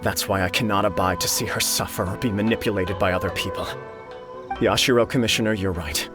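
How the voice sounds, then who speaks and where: A man's voice: fairly low pitch, steady tone, brisk, music in the background, lightly acted. A man playing an earnest young nobleman. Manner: earnest, sincere. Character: earnest young male nobleman, devoted and gentle. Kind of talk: video game cutscene dialogue.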